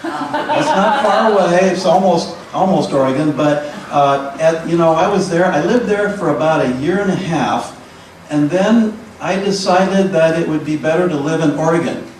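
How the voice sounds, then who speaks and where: A man's voice: fairly high pitch, expressive, moderate pace, recorded from a distance, slight background noise. Playful man joking in an echoey room. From Pacific Northwest. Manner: playful, self-deprecating, rambling. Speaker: comedian, the woman's husband. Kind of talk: stand-up routine. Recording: echoey room, mic from afar.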